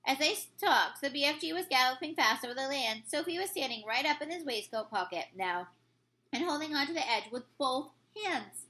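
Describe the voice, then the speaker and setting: A woman narrating a children's book aloud in an expressive storytelling style. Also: sits high, fairly quick. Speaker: story reader.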